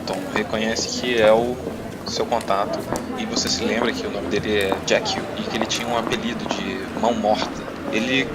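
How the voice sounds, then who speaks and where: A man's voice: fairly low pitch, heavy background noise. A man with a Brazilian accent, narrating a tabletop roleplaying adventure as game master. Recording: music playing behind the voice. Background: music.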